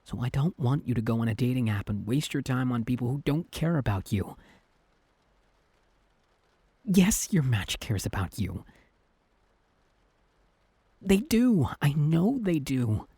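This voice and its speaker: A man's voice: mid-range voice, sing-song, a little slow, warm tone, husky, dry recording, exaggerated voice. A man playing a possessive, exasperated young Cupid who is secretly lovesick, in a conversational, emphatic boyfriend roleplay audio drama. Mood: earnest, flustered. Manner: conversational, exasperated, emphatic, hesitant when flustered.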